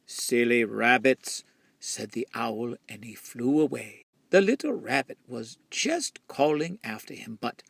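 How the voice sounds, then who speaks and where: A man tells a children's story in a very breathy, gravelly voice that often nears a whisper. His delivery is slow and drawn-out, with dramatic expression. The sound is dry and close. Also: mid-range voice, big pitch swings, dry recording. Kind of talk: expressive picture-book storytelling.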